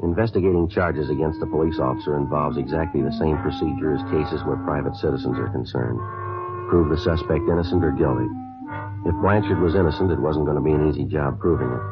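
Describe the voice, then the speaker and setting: Police detective lead, extremely low voice, brisk, impassive and clipped, muffled vintage broadcast sound. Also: male voice, loud music behind the voice. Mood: deadpan. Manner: deadpan, clipped, matter-of-fact. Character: male police sergeant detective, terse, businesslike, impassive. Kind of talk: 1950s radio drama, scripted narration and dialogue. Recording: muffled old radio recording.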